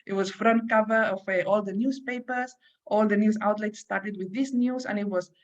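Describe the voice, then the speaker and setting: A woman's voice: medium pitch, steady tone, normal speed. Earnest Spanish-accented woman academic, panel speaker, on a dull lo-fi call. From Spain. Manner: earnest, repeats words as she restarts. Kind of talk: online panel talk.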